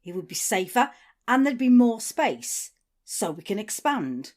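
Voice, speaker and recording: A woman's voice: medium pitch, sing-song, unhurried, a little mellow. An actress playing the authoritative female leader of a survivor community in an audio drama. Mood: businesslike, commanding. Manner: authoritative, swearing, shifts from supportive to domineering. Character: commanding female community leader, confiding yet ruthless.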